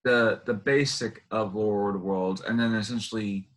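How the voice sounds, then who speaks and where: A man hosting a casual interview over a video call, asking questions in halting, circling, repetitive phrasing. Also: lowish voice, fairly even intonation. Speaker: interview host.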